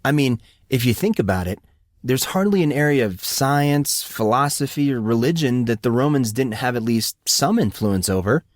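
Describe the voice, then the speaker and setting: A man's voice: mid-range voice, expressive, moderate pace, no room sound, close to an everyday voice. Casting: adult male reader as tentative teen boy, earnest, trying for confidence; audiobook dialogue, dry sound.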